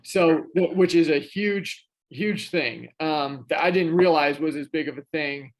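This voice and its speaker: This is a man with a fairly high speaking voice, talking as a guest on an investing podcast. He tells his story in a loose, chatty way, full of "um"s and "you know"s and restarting his sentences. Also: unhurried, rough recording. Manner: chatty, many fillers and restarts. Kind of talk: casual conversational storytelling.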